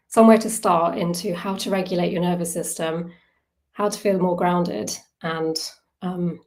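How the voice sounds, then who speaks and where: A woman with a slightly low voice leads an informal online workshop. She speaks conversationally, thinking aloud as she goes and often turning to her listeners with questions. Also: darkish tone. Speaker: workshop facilitator on self-soothing.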